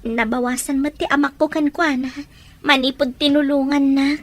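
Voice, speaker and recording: A very high, girlish voice of a young woman played by an actress in an Ilocano drama. She has a Filipino accent and sounds guarded yet tender. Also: fairly even intonation, moderate pace, natural voice. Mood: guarded, reflective. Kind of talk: Ilocano radio-style drama acting.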